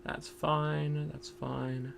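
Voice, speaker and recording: A man streaming a game in casual commentary. He is chatty and self-deprecating, thinks aloud, and has a loud voice. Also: on the high side, little pitch movement, drawn-out words, creaky, noisy background.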